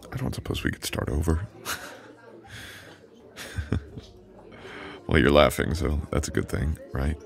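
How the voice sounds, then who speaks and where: Very deep, gravelly immortal boyfriend acted by a man. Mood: flustered, hopeful.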